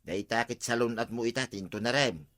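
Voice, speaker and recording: A man playing a bright-voiced scolding village husband. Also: mid-range voice, fairly quick, lightly acted. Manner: nagging, reproachful. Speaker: radio drama actor. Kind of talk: comedy radio drama acting.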